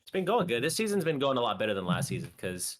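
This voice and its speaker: A man guesting on a stream chat, relaxed and laughing easily, swearing casually. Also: medium pitch, fast talker, a crowd in the background.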